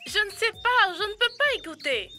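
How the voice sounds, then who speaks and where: A high, bright voice for a playful little cartoon monkey. The monkey is chatty and lively, in an educational show for children, with music behind. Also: normal speed, heavily acted.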